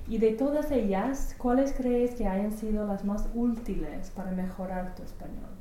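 Mellow-voiced woman interviewer. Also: medium pitch, normal speed, roomy sound, a little hiss or hum. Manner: brief, encouraging backchannels. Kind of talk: research interview.

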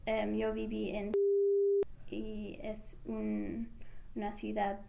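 A woman whose first language is English, answering interview questions slowly with many pauses and fillers as she searches for words. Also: medium pitch, monotone, gravelly, dark tone. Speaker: interviewee, language learner back from a year abroad. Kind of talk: halting, hesitant answers.

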